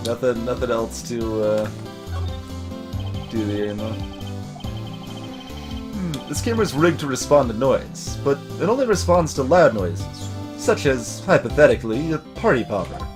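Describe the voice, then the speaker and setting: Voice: mid-range voice, expressive, unhurried, long pauses, music in the background, pitched below the performer's own voice, lightly acted. A performer voicing a young male lawyer from a video game, polite, hesitant and dryly wry. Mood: dry, unsure. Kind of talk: video game character voice-over.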